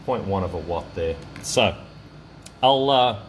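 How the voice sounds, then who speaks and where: A man presenting a hands-on electronics demonstration in a casual, halting way, thinking aloud as he works. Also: sits low, lively intonation, a little hiss or hum. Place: indoor electronics workbench.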